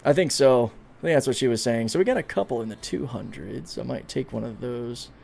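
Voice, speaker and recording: A man's voice: mid-range voice, a little fast, creaky, darkish tone, slight background noise. A man in his thirties chatting casually on a game livestream, reading out and answering chat comments as he plays. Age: 30s. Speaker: streamer.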